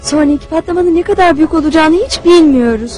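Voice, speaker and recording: A woman's voice: high-pitched, fast, darkish tone, soft background music, close to an everyday voice. A woman playing an earnest, thoughtful young female squirrel freedom fighter in a cartoon dub, speaking with care. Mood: worried, curious. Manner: earnest, careful. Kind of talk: animated cartoon dub acting.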